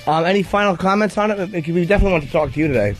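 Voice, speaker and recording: A man's voice: high voice, expressive, very fast, soft background music. A man co-hosting a radio show, chatting casually about sports and studio business.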